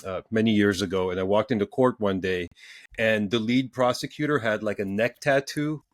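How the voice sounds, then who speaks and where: A man in his forties hosts a relaxed podcast chat in a low-pitched voice. He stops and restarts often, repeating words as he works toward a question. The recording is close and dry. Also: moderate pace, dry recording. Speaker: podcast host. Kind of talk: casual interview chat.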